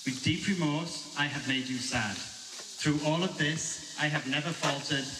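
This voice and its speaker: A man reads his wedding vows in a slow, earnest, tender voice pitched a little high. He is picked up from a distance in an echoey room, with clear background noise. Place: wedding ceremony indoors.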